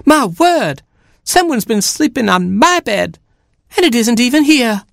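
A man voicing a fussy, quick-tempered mother hen in a storybook, clucking and scolding. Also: extremely high pitch, big pitch swings, creaky, no room sound, studio quality, voice pushed up, full character voice. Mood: indignant. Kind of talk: storybook character voice.

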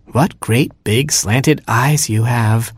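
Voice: mid-range voice, slow, husky, no room sound. A performer voicing a naive, curious little boy robin in a children's storybook, with childlike, wide-eyed wonder. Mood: curious, innocent.

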